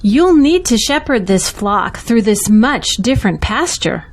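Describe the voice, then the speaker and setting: A woman playing a pastor's supportive confidante in an audio drama, earnest and measured. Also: fairly high pitch, sing-song, exaggerated voice. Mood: concerned.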